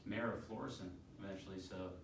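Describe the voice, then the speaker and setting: A middle-aged man giving an unscripted testimonial, halting and rambling a little. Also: low voice, steady tone. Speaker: youth soccer coach giving a testimonial. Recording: echoey room, distant mic, clear noise.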